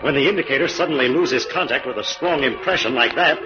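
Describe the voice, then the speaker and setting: A man's voice: mid-range voice, fast, music in the background, lightly acted. A man playing an earnest, methodical crime-fighting scientist, precise and urgent, in old-time radio drama. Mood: intent, puzzled. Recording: muffled vintage radio recording.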